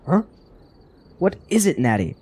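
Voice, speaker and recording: A man's voice: high voice, sing-song, unhurried, mellow, husky, heavily acted. A man playing a troubled, doubting character in a fantasy radio drama, brooding and hesitant in his speech. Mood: distracted, brooding.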